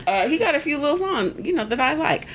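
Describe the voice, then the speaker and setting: A woman in her forties telling stories in a casual podcast chat, animated and laughing, acting out other people's voices. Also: high voice, quick pace, a little hiss or hum. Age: 40s. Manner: animated storyteller, acts out voices, laughs often. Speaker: podcast guest.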